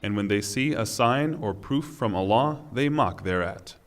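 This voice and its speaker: A man's voice: lowish voice, animated. Solemn man reading scripture with long reverb. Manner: solemn, deliberate, formal. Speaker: reader of Quran translation.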